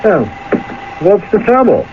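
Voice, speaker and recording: A man's voice: high voice, very animated, measured pace, heavily acted. A man acting in a radio drama as an easygoing, chatty young student with a casual, familiar manner. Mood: casual, curious. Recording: tinny old radio recording, faint noise.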